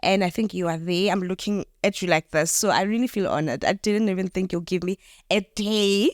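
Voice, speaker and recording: A woman's voice: mid-range voice, a little fast, no room sound, clean studio sound. A woman with a South African accent hosting a casual, conversational podcast interview.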